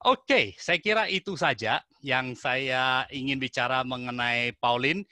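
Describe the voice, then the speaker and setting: Guy introducing a guest on a video call, joking around, bright tone. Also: mid-range voice, unhurried, no room sound. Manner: warm teasing, friendly asides to the audience. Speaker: webinar host.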